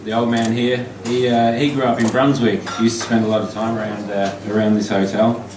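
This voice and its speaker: An Australian man, a poet, reading his poems and flash fiction aloud at a live event, chatty and halting between pieces, slow and deliberate when reading. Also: fairly low pitch, little pitch movement. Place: pub function room. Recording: echoey pub from far off with noise.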